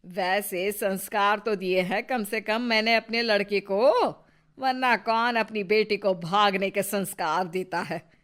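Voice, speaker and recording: Slow-talking, sarcastic older mother-in-law acted by a woman. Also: medium pitch, fairly even intonation, a bit bright, lightly acted. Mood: scornful boasting. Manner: sarcastic, needling. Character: bitter, taunting older Indian mother-in-law, scornful and suspicious. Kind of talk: acted Hindi moral-story dialogue.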